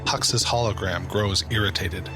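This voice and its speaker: A man's voice: fairly low pitch, fairly even intonation, measured pace. A man giving scripted dramatic narration as the narrator of an audio drama. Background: music.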